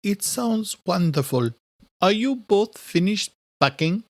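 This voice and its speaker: Man doing a high, pert, girlish younger sister. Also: animated, takes it slowly, no echo, exaggerated voice. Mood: eager. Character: young woman, spoiled playful youngest sister of a duchess.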